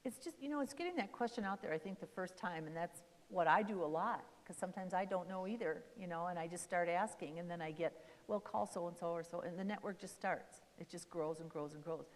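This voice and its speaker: A woman's voice: lowish voice, brisk, few pauses. A friendly, chatty woman social worker speaks at a community seminar. Her talk is loose and rambling, full of little fillers. Her voice carries the long echo of a church meeting hall. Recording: echoey hall.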